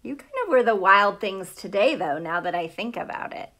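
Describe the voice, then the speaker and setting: A woman's voice: mid-range voice, normal speed. A middle-aged American woman, a teacher, chatting casually and animatedly with children on a livestream from a room at home. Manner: chatty and animated, greeting viewers by name, asking questions. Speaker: elementary school teacher hosting a live read-aloud. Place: small room at home, indoors. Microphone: phone or tablet at arm's length.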